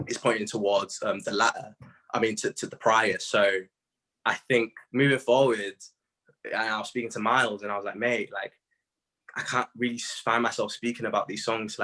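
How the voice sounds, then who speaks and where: A man's voice: fairly low pitch, sharp tone, low-quality recording. A British man in his late teens speaking as a musician in a casual interview, candid and self-reflective, with plenty of slang.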